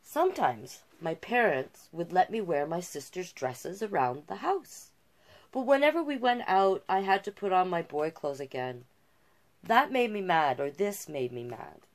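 A middle-aged woman hosting storytime, reading a picture book aloud expressively and adding savouring asides of her own. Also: mid-range voice. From Canada. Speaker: drag king storytime host. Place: small cluttered indoor room.

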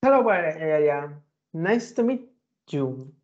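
A man's voice: fairly high pitch, big pitch swings, slow, a little mellow. A man speaking hesitant beginner English as a student in an online class. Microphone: video call. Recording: muffled video call audio.

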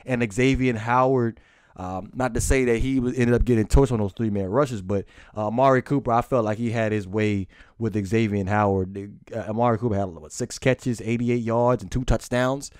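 A man talks through a post-game football recap in an informal, unscripted way. He speaks haltingly and repeats phrases for emphasis, like a fan running his own sports vlog. His voice sits at an average pitch, and the sound is close and dry with very little room echo. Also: steady tone, darkish tone, smooth.

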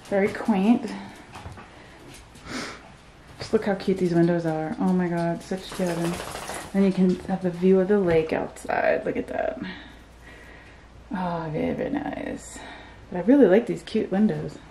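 A woman's voice: on the low side, fairly even intonation, measured pace, long pauses, mellow, a little hiss or hum, low-quality recording. A middle-aged woman chatting casually as she hosts a travel vlog, recorded on a camera mic. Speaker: vlog host. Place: cabin interior and wooded campsite.

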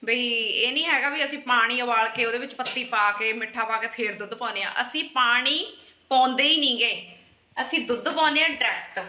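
A woman's voice: a little high, brisk, pushed and bright, echoey room, a little hiss or hum. A woman in her thirties with a Punjabi accent, chatting casually and teasingly to the camera from her home kitchen, filmed on a phone at arm's length. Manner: chatty and teasing, laughs often. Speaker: family vlogger. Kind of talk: casual vlog chat. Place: home kitchen and hallway, indoors. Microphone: phone held at arm's length.

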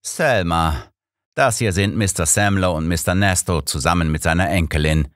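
Man voicing brisk, hulking workhouse master. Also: low-pitched, dry recording, clean studio sound, natural voice. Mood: brisk, obliging.

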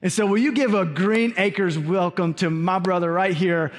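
High-voiced energetic preacher in a reverberant hall. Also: male voice, moderate pace. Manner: energetic preacher with call-and-response asides. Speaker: pastor. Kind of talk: sermon and stage interview. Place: large church sanctuary.

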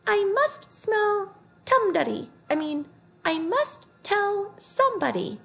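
A woman's voice: extremely high pitch, sing-song, slow, vocal fry, a bit bright, pitched above the performer's own voice, exaggerated voice. A woman voicing a tiny, flustered storybook mouse who mutters and muddles its words in a small funny voice. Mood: flustered muttering.

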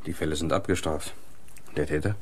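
An actor playing a terse, probing police lieutenant in a German radio crime drama. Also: male voice, rumbling low, fairly even intonation, fairly quick, slight background noise. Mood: matter-of-fact. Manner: terse, dry.

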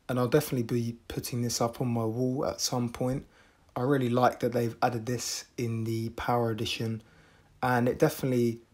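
A man giving an unboxing review voice-over in a measured, matter-of-fact way. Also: medium pitch. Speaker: video reviewer.

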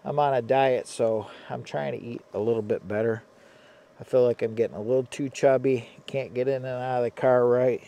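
A man from Michigan vlogging casually from inside a parked car, talking low-key and half to himself. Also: steady tone, normal speed, lo-fi sound. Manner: low-key, quiet, half-muttered asides. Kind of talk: casual vlog commentary. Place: inside a parked car, cold and windy outside. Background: faint wind outside.

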